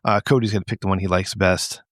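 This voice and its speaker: A man's voice: low voice, fairly even intonation, fast talker, no room sound, studio quality. A man hosting a casual comedy podcast, moderating a game with friends in loose group banter.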